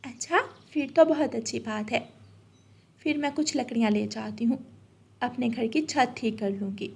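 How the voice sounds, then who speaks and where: A woman's voice: on the high side, normal speed, vocal fry, breathy edge. A woman voicing a kind, anxious mother sparrow in a children's cartoon story, earnest and worried. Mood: trusting, relieved.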